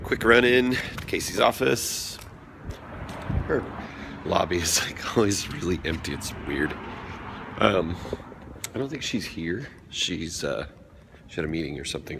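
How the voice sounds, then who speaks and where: A hoarse man rambling chattily through his day as a vlogger, quick to laugh. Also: low voice, noisy background. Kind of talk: casual daily vlog chat.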